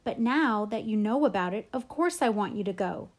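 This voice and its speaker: A woman's voice: mid-range voice, expressive, normal speed, lightly acted. A woman voicing a defensive, exasperated teenage girl, an older sister in a storybook. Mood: accusing.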